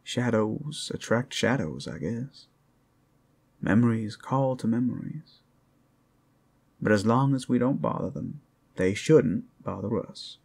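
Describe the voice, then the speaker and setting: A man playing a flirtatious, rowdy but friendly werewolf in an ASMR roleplay, with a gravelly, husky voice and a folksy, teasing drawl. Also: on the low side, expressive, takes it slowly, long pauses, darkish tone, full character voice. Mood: hushed, wary.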